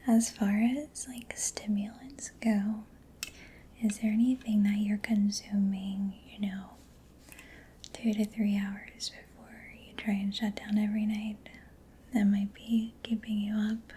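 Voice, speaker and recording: Softly whispering woman, even and gentle. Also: medium pitch, slow, a little hiss or hum. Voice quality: soft, breathy whisper. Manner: gentle, hesitant, reassuring. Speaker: ASMR roleplayer as a robot mechanic. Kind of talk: ASMR, soft-spoken whispered personal-attention roleplay.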